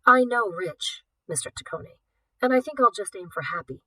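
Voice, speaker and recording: A woman's voice: medium pitch, normal speed, no echo, recorded from a distance. Woman reading as a guarded, blunt teenage girl. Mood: guarded, resolute. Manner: flat, terse, challenging.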